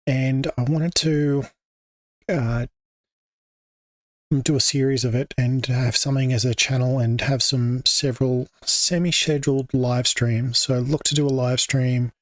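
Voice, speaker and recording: A man's voice: medium pitch, steady tone, measured pace, dry recording. An Australian man hosting an English-teaching livestream, talking off the cuff with many fillers and restarts. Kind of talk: unscripted livestream talk. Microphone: desk microphone.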